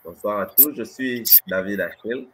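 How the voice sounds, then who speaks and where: A man's voice: lowish voice, moderate pace, a bit bright. A man in his twenties with a Cameroonian accent, explaining things informally as a guest on a webcam call. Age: 20s. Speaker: guest, medical student. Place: indoor room. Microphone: webcam video call. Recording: noisy webcam call audio.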